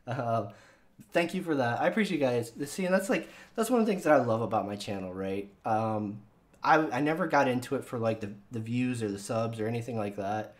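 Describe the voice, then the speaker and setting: A man's voice: mid-range voice, brisk. A man hosting his own channel in casual chat with viewers, rambling and swearing with lots of fillers, his voice sounding younger than he is. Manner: rambling and profane, with many fillers. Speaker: channel host.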